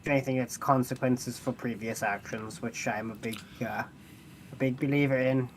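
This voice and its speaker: A man's voice: medium pitch, steady tone, normal speed, lightly acted. Man playing a haughty nonhuman construct, noisy recording. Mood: matter-of-fact. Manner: condescending, theatrical, hesitant phrasing. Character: haughty, pragmatic nonhuman mechanical adventurer, amused by mortals. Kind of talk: in-character tabletop roleplay.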